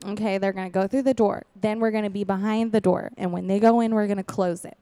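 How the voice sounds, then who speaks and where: A woman's voice: medium pitch, steady tone, fast, warm tone, clear, resonant tone, close to an everyday voice. A woman playing a scheming, casually murderous adolescent girl in an improvised comedy radio play, slangy and insistent.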